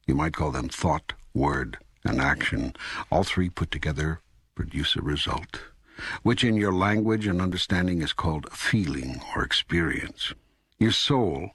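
An older man playing God as a calm, patient, authoritative teacher in an audiobook, speaking in a measured, deliberate way with a gravelly, husky voice. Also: sits low, dry recording, clean studio sound, heavily acted. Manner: measured, deliberate, emphatic phrasing with pauses. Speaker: audiobook narrator. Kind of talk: scripted audiobook dialogue reading.

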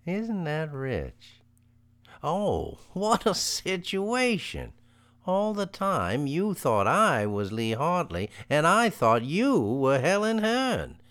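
An actor playing a shaken but wry man in an old-time radio thriller, speaking in a halting, emphatic, dramatic way. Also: on the high side, wildly swooping pitch, fairly bright tone, dry recording, heavily acted. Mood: wry relief. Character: John Taylor, shaken but wry man explaining a killing. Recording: vintage radio recording, dry, music behind.

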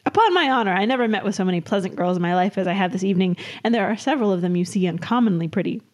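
A woman reading as an amiable, eager young Regency gentleman with effusive period diction in a comic story. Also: mid-range voice, expressive, very fast, natural voice. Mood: delighted.

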